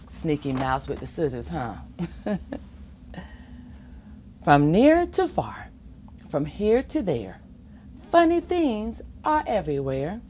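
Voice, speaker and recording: A middle-aged woman reading a children's picture book aloud in an expressive sing-song way, with playful asides. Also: deep voice. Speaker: volunteer children's storybook reader. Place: school or public library children's corner, indoors. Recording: faint room ambience noise.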